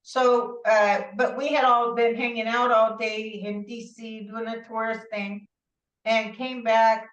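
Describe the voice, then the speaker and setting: A woman guest telling her story in a rambling, animated way, with many asides and restarts, heard through a remote call. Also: a little high, unhurried. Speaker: interview guest. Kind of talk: casual conversational storytelling. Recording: distant, roomy, dull-sounding audio.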